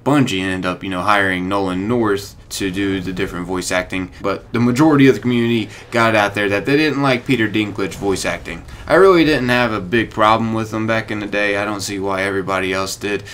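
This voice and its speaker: A man's voice: lowish voice, a little fast, few pauses, fairly bright tone, a little hiss or hum. A young man hosting a gaming video with informal, conversational commentary.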